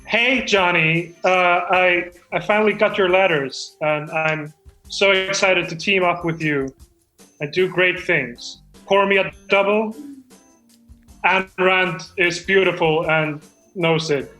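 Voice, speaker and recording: A man playing an earnest, defensive amateur screenwriter who rambles haltingly through an improvised comedy table read. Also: high-pitched, bright, forceful tone, reverberant, soft background music, exaggerated voice, poor audio. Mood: reading aloud. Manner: halting, rambling, self-justifying. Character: earnest, defensive amateur screenwriter presenting his own script. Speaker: performer on a comedy podcast.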